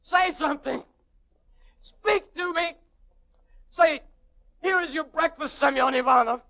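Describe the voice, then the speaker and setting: A man's voice: very high voice, a little slow, pushed and bright, no echo, highs cut off, full character voice. An actor playing an anguished, lonely prisoner recounting his confinement in an old radio drama, with theatrical, intense delivery. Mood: desperate, pleading. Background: music.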